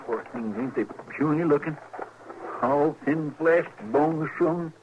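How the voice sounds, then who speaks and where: A man's voice: fairly high pitch, unhurried, natural voice. A man with a rural American accent playing a simple, kindhearted marshal's sidekick in an old-time radio drama, plain-spoken, drawling and deferential. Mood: pitying, concerned. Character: folksy, simple, kindhearted marshal's helper in a western. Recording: muffled, noisy vintage radio recording.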